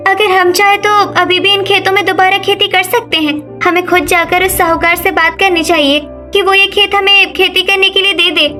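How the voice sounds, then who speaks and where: A woman's voice: very high-pitched, flat delivery, moderate pace, hardly any pauses, pushed and bright, soft background music. A woman voicing an eager, educated young village daughter-in-law in a cartoon story. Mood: eager, confident.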